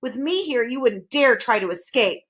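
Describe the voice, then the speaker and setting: A woman's voice: on the high side, lively intonation, moderate pace, fairly bright tone. A woman voicing a gleeful, insincere male monster who gives bad advice in a storybook reading, calling out in a raised voice. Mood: gleeful boasting.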